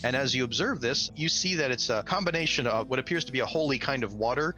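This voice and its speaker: Expressive male game master, music behind. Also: medium pitch, fast. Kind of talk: narrating a tabletop role-playing game.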